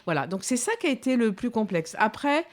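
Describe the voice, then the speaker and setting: A woman's voice: fairly high pitch, big pitch swings, brisk. A woman in her fifties, a documentary filmmaker, giving reflective interview answers with many hesitations and restarts. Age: 50s.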